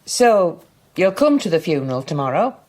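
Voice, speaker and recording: An actress playing a kindly, resolute older woman in radio drama, measured and reassuring, with a gravelly voice. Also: fairly low pitch, big pitch swings, a little mellow. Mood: earnest, encouraging. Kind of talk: BBC radio drama acting.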